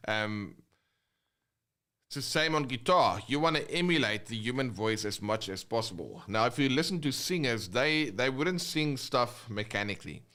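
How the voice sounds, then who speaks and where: A man with a South African accent teaching guitar techniques, explaining step by step in a relaxed way between demonstrations. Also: mid-range voice, normal speed. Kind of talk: instructional talk with guitar demonstrations. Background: his own guitar playing between phrases.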